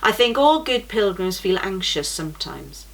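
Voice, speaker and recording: A woman's voice: medium pitch, sing-song, moderate pace, creaky, fairly bright tone. A woman voicing a strong, protective man who guides pilgrims in a read-aloud storybook, firm and comforting.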